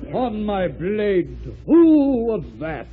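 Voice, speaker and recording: Tinny old radio sound frames a pompous carving-knife knight. His high voice swoops about as he blusters and stammers, always ready to take offence. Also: very animated, slow pace, over a noisy crowd, heavily acted. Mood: indignant. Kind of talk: 1940s radio acting.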